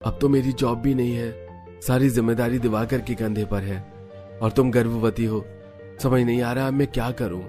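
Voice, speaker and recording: A man's voice: medium pitch, steady tone, moderate pace, dark tone, soft background music, natural voice. A man voicing an earnest, protective young husband in a cartoon family drama. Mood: worried.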